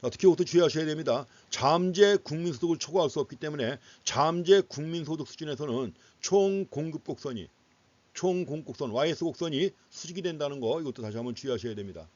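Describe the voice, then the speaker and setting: A man's voice: on the high side, expressive, fast talker, darkish tone, no echo. A man giving an explanatory economics lecture in a didactic way, putting questions to students and repeating key phrases for emphasis. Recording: dull, lo-fi lecture audio.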